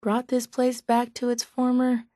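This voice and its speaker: An actress playing a sardonic, calculating raider chemist in a video game, speaking in a dry, measured, faintly condescending way. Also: female voice, on the high side, monotone, warm tone, no room sound, close to an everyday voice. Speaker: video game character voice actor. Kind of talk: scripted video game dialogue.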